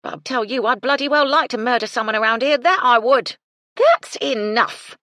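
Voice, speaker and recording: A woman voicing a stout, hot-tempered cook, coarse and yelling. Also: a little high, moderate pace, no room sound, clean studio sound, pitched above the performer's own voice. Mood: angry.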